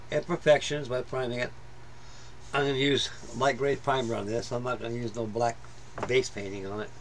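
A man's voice: medium pitch, a little hiss or hum. A middle-aged man giving casual, rambling commentary on a model build at his workbench, full of fillers and restarts. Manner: plain-spoken, rambling, many fillers and restarts. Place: workbench in a home hobby room.

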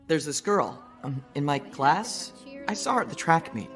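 Awkward, nervous teenage schoolboy. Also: male voice, on the high side, very animated, normal speed. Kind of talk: animated film dialogue. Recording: film soundtrack with music behind.

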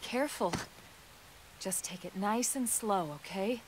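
A woman playing a composed, reassuring female sci-fi soldier in a video game cutscene, steady and matter-of-fact. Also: mid-range voice, a little slow, lightly acted. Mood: calm, reassuring. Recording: faint rain and hiss behind.

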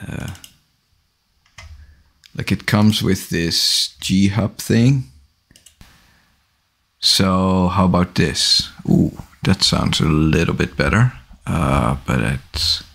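Low-voiced husky man livestreaming from home. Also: slow pace, long pauses. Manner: relaxed, rambling, self-correcting. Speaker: livestream host. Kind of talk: casual livestream chat. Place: small home office room. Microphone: large studio microphone on boom arm, headphones.

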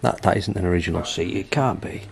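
Excited man exploring an old house. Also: low voice, fast, creaky. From England. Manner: excited, awestruck exclamations while walking around. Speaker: urban explorer vlogger. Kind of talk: casual exploring commentary. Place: abandoned house and garage. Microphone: handheld camera mic.

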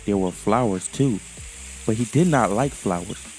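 A man's voice: lowish voice, moderate pace, darkish tone, rough recording. Man reading a children's story over music. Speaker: storyteller, host. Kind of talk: scripted children's story narration.

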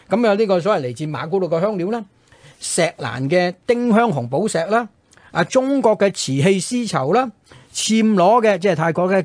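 A male radio co-host with a Hong Kong Cantonese accent recounts history in a conversational way. His voice sits a little high and is husky, and he talks rapidly. The sound is dry and close. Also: no room sound.

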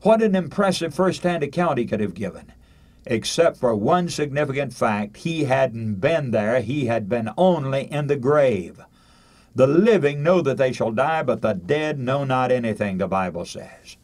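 An older man delivers a radio sermon in a mid-pitched voice with very lively, swooping intonation. He speaks emphatically, building points through repetition and rhetorical questions, and reads scripture aloud. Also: normal speed. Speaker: radio preacher.

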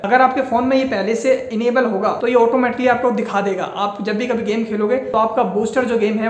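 A man, explaining things casually and repetitively straight to his viewers. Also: very high voice. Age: 20s. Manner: repetitive, addresses viewers directly. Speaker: YouTuber. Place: small indoor room with bare wall. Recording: echoey small room.

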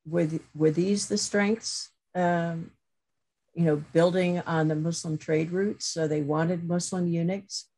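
A middle-aged woman professor giving a thoughtful webinar talk over a video call, pausing with many fillers and restarts. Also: lowish voice, steady tone, darkish tone. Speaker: guest lecturer, professor.

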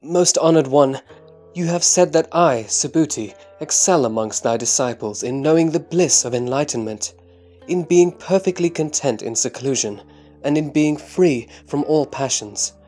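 A man playing an earnest, humble disciple in a scripture audio drama, answering in a reverent, deliberate, formal way. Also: fairly high pitch, lively intonation, mellow, soft background music. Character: Subhuti, earnest humble male disciple of the Buddha.

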